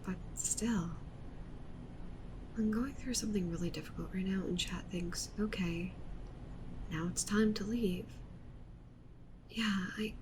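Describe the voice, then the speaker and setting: A woman playing a clingy, possessive yandere witch streamer in an ASMR roleplay, pleading intimately with the listener and often slipping into breathy whispers. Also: medium pitch, background noise, lightly acted. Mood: hurt, defensive.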